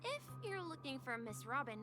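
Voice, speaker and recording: Eager young bellboy, lively and high. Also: female voice, normal speed. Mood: earnest. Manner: eager, earnest, courteous. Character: cheerful, polite, innocent young bellboy. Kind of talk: video game voice acting. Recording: music behind the voice. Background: game music.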